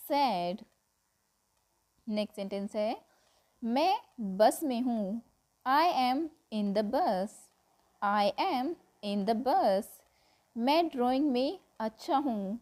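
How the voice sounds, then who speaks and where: A woman with an Indian accent gives a scripted language lesson. Her voice sits a little high. She delivers each example very slowly and deliberately, like a teacher running a repetition drill. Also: darkish tone. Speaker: English teacher.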